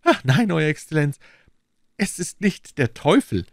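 A man voicing an excitable, servile innkeeper with a high, eager, fawning way of talking. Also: a little slow, creaky, dry recording, studio quality, full character voice.

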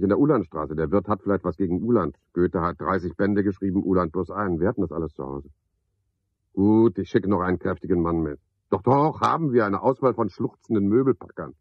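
Husky, cynical, low-voiced night porter. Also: male voice, dry recording, highs cut off, heavily acted. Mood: dry, officious. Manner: sardonic, clipped, musing to himself. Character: cynical, chatty night porter, muttering grim calculations. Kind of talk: 1970s German radio play monologue.

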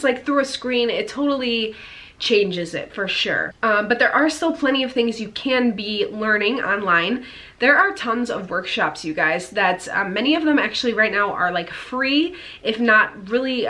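A young woman in her twenties, an actor talking to camera at home, nasal from a cold and excitable, with lots of fillers and restarts. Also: on the high side. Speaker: actor and YouTuber. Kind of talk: casual vlog advice to camera. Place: indoor room at home. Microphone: camera in front at head-and-shoulders framing.

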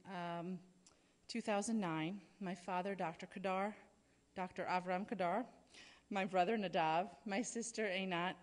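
A woman in her thirties at a podium, giving prepared introductory remarks from notes with frequent fillers. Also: medium pitch. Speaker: event speaker introducing a lecturer. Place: large lecture hall, at a podium. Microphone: podium microphone. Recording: reverberant hall.

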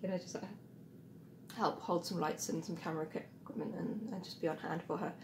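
A woman in her thirties with a croaky, just-woken voice, chatting casually into a phone at arm's length indoors. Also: on the low side, steady tone, fast, echoey room, background noise. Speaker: costume sewing vlogger. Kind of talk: casual morning vlog to camera. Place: dim room at home, indoors.

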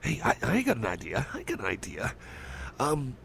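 A man speaking a gentle ASMR roleplay as a caring cyborg friend, rambling and stammering, reassuring and soft. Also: medium pitch, huge pitch swings, moderate pace, gravelly, slight background noise.

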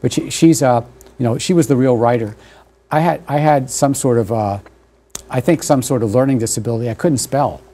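A man in his sixties whose first language was German, talking in a studio interview, halting and thoughtful with many fillers and restarts, on a lapel mic. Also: mid-range voice, mellow. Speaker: author and guest. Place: dark television studio.

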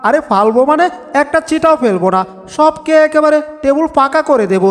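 A man voicing an excitable, boastful, lovestruck cartoon cat, exclaiming theatrically to himself. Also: very high voice, a little fast. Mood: greedy delight. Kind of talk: Bengali cartoon dub comedy. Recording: long reverb with music.

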